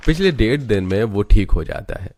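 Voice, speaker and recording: Low-voiced male story narrator. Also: lively intonation, normal speed, a little mellow. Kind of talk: scripted narration of an animated family story.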